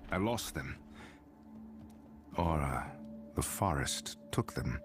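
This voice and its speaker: A man's voice: a bass voice, animated, takes it slowly, music in the background, heavily acted. An actor playing a grave, determined male adventurer in a fantasy game, serious and brooding. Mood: grave, resolute.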